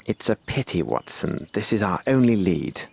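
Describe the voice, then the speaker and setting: An actor playing a composed gentleman detective in a video game, speaking formally and precisely with courteous authority. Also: deep voice, very animated, normal speed, gravelly, breathy edge, exaggerated voice. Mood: brisk, pensive. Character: composed, commanding, clever English gentleman detective, adult male. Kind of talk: video game cutscene acting.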